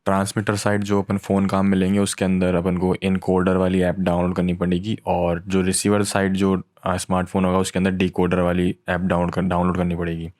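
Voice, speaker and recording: A man's voice: low-pitched, completely flat delivery, a little fast, few pauses, a little mellow, no room sound, studio quality. A man explaining technology in a casual, informal tutorial style, mixing in English technical terms. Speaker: tech tutorial host.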